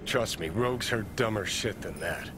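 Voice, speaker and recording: Video game role: male actor as arrogant middle-aged American rockstar, cynical and abrasive, with clear background vehicle noise. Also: on the low side, normal speed, close to an everyday voice. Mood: cocky. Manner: profane, cocky, sardonic. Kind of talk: cinematic video game acting.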